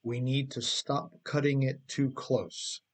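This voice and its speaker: A man's voice: mid-range voice, flat delivery, slow pace. A man reading his own book aloud as a scripted audiobook narration, conversational in manner, with emphatic stresses and rhetorical questions.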